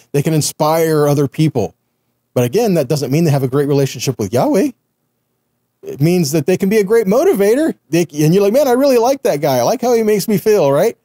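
A man's voice: a little high, mellow, no room sound, clean studio sound. A middle-aged man giving conversational Bible teaching in an earnest manner, often checking in with the listener. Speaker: Messianic ministry teacher.

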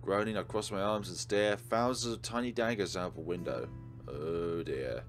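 A man's voice: sits low, normal speed. A man hosting a let's play, reading game text aloud and commenting on it. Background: game music.

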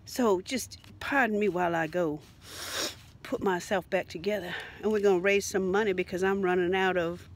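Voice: medium pitch, steady tone, moderate pace, natural voice. A performer voicing a rambling, theatrical, crude mock Southern grifter in an improvised satirical monologue, with drawling, melodramatic repetition. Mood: rambling, scheming. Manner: drawling, melodramatic, repetitive, lurching from whispers to shouts.

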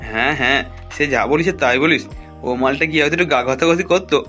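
Man playing a bright, brash cartoon village lad. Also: a little high, fast. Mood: brash curiosity. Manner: brash, crude, inquisitive. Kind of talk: amateur comic cartoon dub. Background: faint background music.

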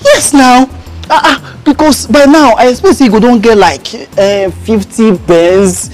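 A man's voice: very high voice, a little slow, soft background music. An actor playing a blunt, exasperated male friend, badgering his pal in Nigerian Pidgin. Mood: scornful. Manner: animated, badgering, repetitive. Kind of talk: Nigerian Pidgin film dialogue.

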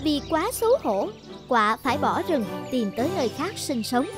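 Casting: female storybook narrator, high register, children's music underneath. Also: lively intonation, moderate pace. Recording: music behind the voice.

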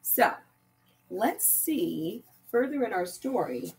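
A woman's voice: mid-range voice, sing-song, takes it slowly, a little mellow. A woman teaching young children, patiently explaining step by step and reading aloud like a primary school teacher. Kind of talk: children's lesson and picture book read-aloud.

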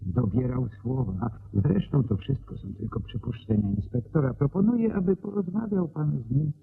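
A polite, evasive male secretary in a radio play. His voice is gravelly and moves up and down freely as he chooses words carefully. It comes through a narrow, hissy old-radio sound. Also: medium pitch, animated, normal speed, exaggerated voice. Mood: explaining, guarded. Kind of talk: Polish radio play acting.

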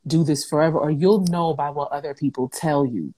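Woman w a warm lowish voice, chatty emphatic pep talk, video call from home. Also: brisk, slightly rough. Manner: animated, emphatic, conversational. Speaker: guest, talk show host and entrepreneur. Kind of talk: casual motivational interview chat. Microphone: wired earbuds on a video call.

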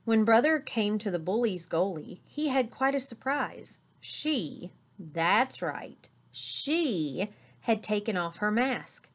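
A middle-aged woman reading a children's story aloud in an expressive narrating style. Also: medium pitch, slow. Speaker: storybook reader. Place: small indoor room with curtain backdrop.

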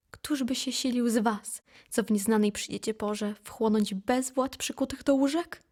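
A woman reciting poetry for an audio drama in an intense, solemn, deliberate manner. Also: medium pitch. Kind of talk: dramatic poetry recitation, from whisper to impassioned cries. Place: recording studio.

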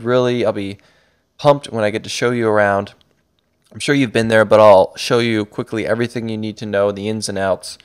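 A man's voice: fairly low pitch, clean tone. A man narrating an online course over slides, upbeat and a little rambling, with frequent restarts. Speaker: course instructor and website creator.

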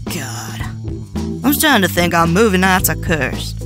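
A woman's voice: fairly low pitch, sing-song, unhurried. The blunt best friend in a cartoon dub, a woman acting, wry and exasperated, lilting widely over music. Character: sassy, exasperated young woman. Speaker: voice actor in a comic dub. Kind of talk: animated comic dub acting. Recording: music behind the voice.

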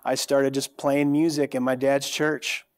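An American man in his thirties, from California, speaks with little rise and fall in pitch. He tells a personal testimony in a TV studio, picked up on a lapel mic. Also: little pitch movement, measured pace. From California, United States. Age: 30s. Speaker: worship leader, programme guest. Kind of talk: telling a personal testimony in a TV interview. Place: TV studio, seated on a sofa.

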